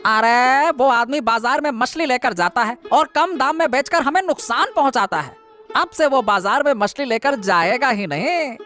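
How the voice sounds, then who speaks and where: A woman's voice: high voice, lively intonation, moderate pace, fairly bright tone, faint music behind. A woman voicing a sly male crony in a cartoon who fawns over his boss. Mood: fawning. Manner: fawning and sly. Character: scheming male crony of the villain.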